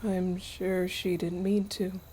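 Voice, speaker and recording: A woman's voice: lowish voice, flat delivery, slow, dark tone, breathy edge, low-quality recording. A woman playing an anxious, solicitous mother goddess in a radio play. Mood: guarded, polite. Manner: solicitous, probing, insistent. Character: fussing, anxious mother, a grieving harvest goddess.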